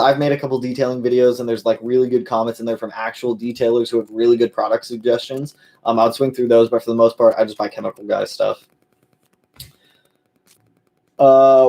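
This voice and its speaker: A man's voice: mid-range voice, darkish tone. Laid-back man hosting a car Q&A. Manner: laid-back, many fillers, calls viewers "my man". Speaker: host of a car channel. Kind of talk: casual live-stream Q&A.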